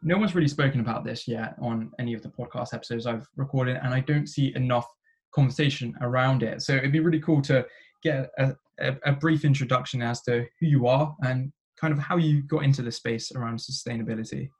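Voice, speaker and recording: A man hosting a podcast, relaxed, chatting with his guest with many restarts and "uh" fillers. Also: mid-range voice, fairly quick. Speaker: podcast host. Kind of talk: casual podcast interviewing.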